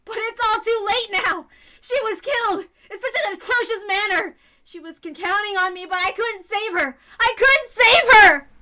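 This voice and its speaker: A woman's voice: very high-pitched, normal speed, bright, forceful tone, voice pushed up. A woman voicing a guilt-ridden young male police officer in a dramatic story reading, impassioned and tearful. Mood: tearful despair. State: crying, howling. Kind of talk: dramatic reading of a visual novel.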